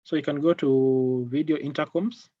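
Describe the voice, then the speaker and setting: A man's voice: on the high side, fairly even intonation, no echo. Kenyan guy running an online tech training, repeats himself a lot, muffled dry audio. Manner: halting, repeats phrases. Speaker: product trainer. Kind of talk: live online technical training. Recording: dull lo-fi online call audio.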